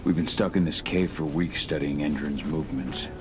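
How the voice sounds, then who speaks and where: A man playing a gruff, brooding, protective werewolf fighter in a video game, blunt in the way he talks. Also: extremely low pitch, steady tone, moderate pace, music in the background. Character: brooding, combative werewolf husband, wary and protective. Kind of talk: dramatic video game acting.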